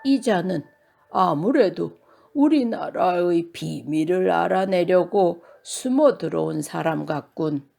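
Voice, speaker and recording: A woman voicing a gruff, suspicious giant soldier in a storybook, speaking in an accusing, drawn-out way. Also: fairly low pitch, animated, warm tone, pitched below the performer's own voice, full character voice.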